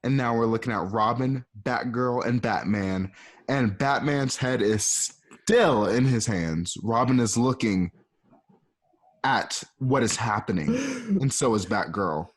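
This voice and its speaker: A man's voice: mid-range voice, unhurried, husky. A man narrating an amateur radio drama in a casual, playful way, with chatty asides and laughter.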